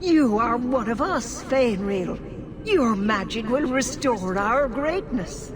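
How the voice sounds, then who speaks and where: An actress playing a dignified elven clan elder in a video game, with a measured, ceremonial delivery. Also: female voice, fairly high pitch, animated. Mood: proud. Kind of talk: video game cutscene acting. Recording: long hall reverb, noisy game capture.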